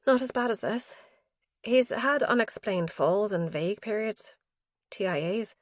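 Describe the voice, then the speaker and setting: A woman's voice: medium pitch, expressive, measured pace, no echo, clean studio sound, pitched above the performer's own voice. A woman narrator voicing an anxious adult daughter who sounds strained and pleading. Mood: subdued.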